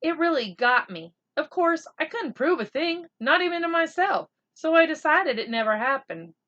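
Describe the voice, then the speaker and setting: A defensive, sheepish young boy, voiced by a woman storyteller, earnest and a little halting. The pitch is high, and the storybook recording is slightly dull. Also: big pitch swings, pushed and bright, full character voice. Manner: hesitant, earnest. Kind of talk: children's storybook read-aloud.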